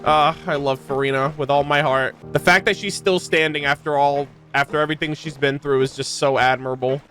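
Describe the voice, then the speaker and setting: A man's voice: a little high, fairly even intonation, fairly quick, fairly bright tone, faint music behind. A man hosting a gaming video, giving casual, emotionally invested reaction commentary in an informal way.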